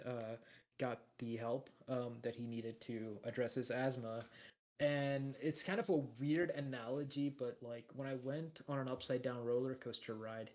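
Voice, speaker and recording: A man with a deep voice co-hosting a podcast, rambling in casual chat with lots of fillers and restarts. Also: darkish tone. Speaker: podcast co-host.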